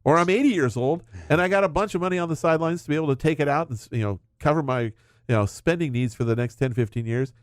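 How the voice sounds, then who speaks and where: Middle-aged male advisor, brisk and dry-miked, talks through scenarios. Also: fairly high pitch, dry recording. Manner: explains with hypothetical examples. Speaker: financial advisor. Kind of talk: casual financial chat.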